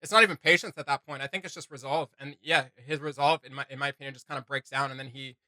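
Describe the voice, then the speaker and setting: A man's voice: mid-range voice, steady tone, fast talker, bright, forceful tone, no echo, studio quality. A man hosting a reaction video with casual, off-the-cuff commentary, blunt and sarcastic as he rambles.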